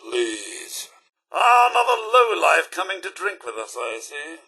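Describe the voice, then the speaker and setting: A man's voice: high-pitched, very animated, unhurried, creaky, pushed and bright, husky, full character voice. A man playing a fussy, prim robot butler in a video game, with a pompous, over-polite, theatrical delivery. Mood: snide, disdainful. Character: fussy, prim male robot butler, servile yet snide.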